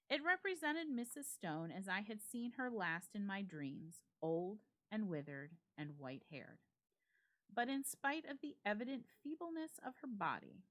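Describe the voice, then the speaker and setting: Expressive low-voiced woman storyteller. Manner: theatrical, suspenseful, deliberate emphasis. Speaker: library staff storyteller. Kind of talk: dramatic reading of a classic ghost story.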